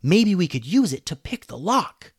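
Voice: medium pitch, very animated, moderate pace, husky, dry recording, studio quality, voice pushed up, heavily acted. A storyteller voicing an eager, earnest young boy on an adventure in a children's story. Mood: hopeful.

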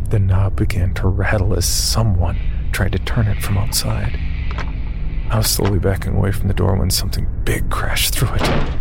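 Low, creaky-voiced man narrating horror. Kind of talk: scripted first-person horror narration. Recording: music behind the voice.

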